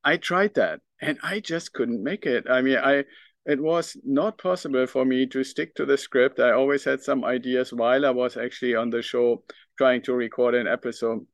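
A middle-aged man with a German accent talks alone into the mic as a podcast host. His voice sits at an ordinary pitch, earnest and reflective, with small hesitations as he thinks aloud. The recording is close and dry, with no room sound. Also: fairly even intonation, moderate pace, dry recording. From northern Germany. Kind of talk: solo podcast monologue.

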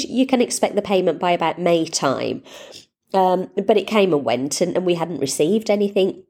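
A middle-aged woman with a somewhat low voice and a stuffed-up, cold-clogged sound. She hosts her podcast in a relaxed, upbeat ramble, full of ums and asides. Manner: chatty, upbeat, rambling with fillers. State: congested with a cold. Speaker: podcast host. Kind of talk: casual solo podcast chat.

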